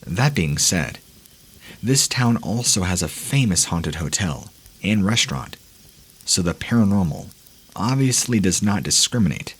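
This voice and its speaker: A man reading listener-submitted scary stories aloud in a measured, ominous storytelling manner. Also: deep voice, very animated, slightly rough. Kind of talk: scripted horror narration.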